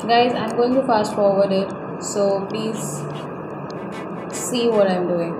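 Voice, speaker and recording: A woman's voice: medium pitch, slow, heavy background noise. A young woman, casually talking viewers through a design tutorial with many fillers and restarts. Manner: chatty, direct address with many fillers and restarts. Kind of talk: casual screen-recorded tutorial. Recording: roomy voice-over with loud background music.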